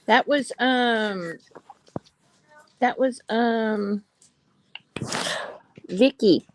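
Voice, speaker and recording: A woman in casual chat who relays viewer comments, often pausing with ums. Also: a little high, a little hiss or hum, poor audio.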